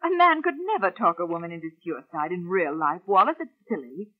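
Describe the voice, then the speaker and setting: A husky society wife in an old radio drama, indulgent and fondly patronising. Her delivery is very lively, on a dull vintage recording. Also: lowish voice, fairly quick. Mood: fond, amused. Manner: fond, patronising. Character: wealthy, indulgent, infatuated wife and theatre producer. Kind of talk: 1940s radio drama acting.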